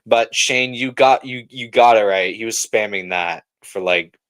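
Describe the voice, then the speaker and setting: A man's voice: fairly low pitch, measured pace, a bit bright. Casual, slangy male livestreamer. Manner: informal slangy talk, often saying bro. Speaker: livestream host. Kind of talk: casual livestream chat, reacting to a film.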